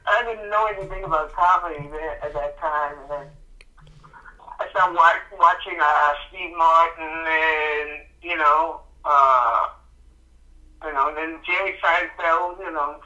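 Male comedian guest, middle-aged, raised in the Bahamas; rambling, bright-toned, muffled call audio. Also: fairly high pitch, fairly even intonation, a little slow. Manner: rambling, many restarts and fillers. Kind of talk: casual interview.

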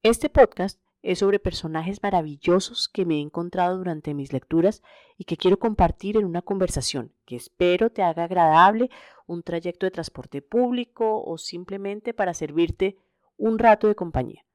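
Colombian woman podcaster, low-pitched, close dry sound. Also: normal speed, a little mellow, no echo. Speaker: podcast host. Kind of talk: scripted solo podcast narration.

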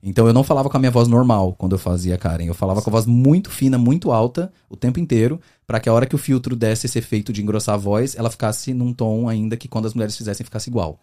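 A man from the interior of São Paulo state, with that region's accent, chatting as a guest on a podcast. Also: medium pitch. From Brazil, interior of São Paulo state. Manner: fast, animated storytelling with many fillers. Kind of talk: casual interview chat.

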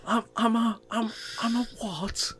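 A man's voice: high voice, unhurried, breathy edge. A young man with an Irish accent from Monaghan, chatting and joking as a podcast co-host. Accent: Irish, from County Monaghan. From Ireland. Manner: joking, lively storyteller. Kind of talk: casual chat.

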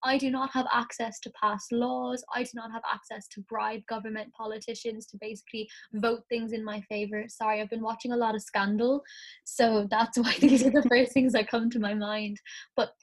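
Young irish woman chatting for a class, slightly croaky, kinda high voice, lots of "like". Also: flat delivery, moderate pace. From Ireland. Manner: chatty, thinks aloud, frequent "like". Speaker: student. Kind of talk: casual discussion for a class module.

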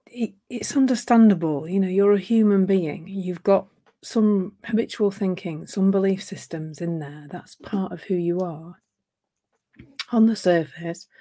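A woman speaking as a coach in a live talk on relationships, gentle and reflective, her voice a little croaky from a sore throat. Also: medium pitch.

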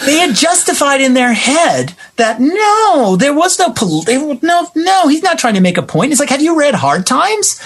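A man's voice: very high voice, sing-song, normal speed, few pauses, a bit bright, slight background noise. A man speaking as a guest playwright and actor in a conversational interview, emphatic and given to acting out remembered exchanges.

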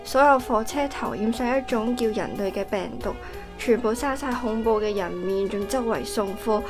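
A woman with a Hong Kong accent playing an excitable, easily scared little sister in a comedic radio drama, with dramatic, exclamatory delivery. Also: a little high, moderate pace, over music, natural voice. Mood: mock-solemn storytelling.

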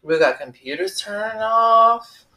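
A man's voice: high voice, animated, a little slow, bright, forceful tone, roomy sound, rough recording. Young man, podcast co-host, easygoing, joking, casual chat. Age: young adult.

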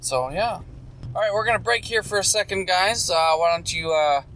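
A man's voice: sits high, moderate pace, bright, forceful tone. A man bantering casually with a friend in a moving car, joking and rambling with lots of fillers. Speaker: co-host. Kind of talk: casual chat. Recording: noisy car with road noise.

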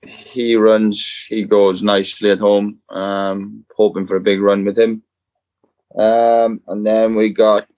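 A man speaking as a guest in a casual chat, terse and halting. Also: on the low side, no echo, highs cut off. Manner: terse, halting with many ums.

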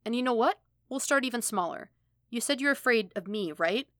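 A woman's voice: fairly high pitch, lively intonation, brisk, fairly bright tone, no echo, clean studio sound, voice pushed up. A woman voicing a casual, blunt but caring older male mentor in a story, talking steadily and reassuringly. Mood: earnest, protective.

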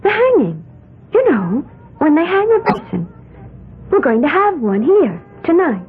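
A woman's voice: sits high, huge pitch swings, measured pace, narrow, tinny audio, heavily acted. A dreamy, babbling young woman. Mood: earnest, dreamy. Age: young adult. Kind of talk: 1970s radio drama acting. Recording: band-limited old radio broadcast.

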